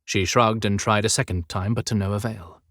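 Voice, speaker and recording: A man's voice: fairly low pitch, steady tone, normal speed, fairly bright tone, no room sound, studio quality. A man narrating a scripted adventure story as an audiobook narrator.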